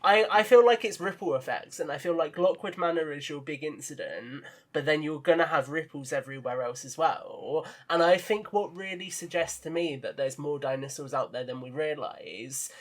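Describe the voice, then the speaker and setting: A man's voice: fairly high pitch, normal speed, hardly any pauses. A man talking as a podcast guest, explaining his ideas earnestly and with enthusiasm in casual conversation. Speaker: podcast guest and audio drama creator.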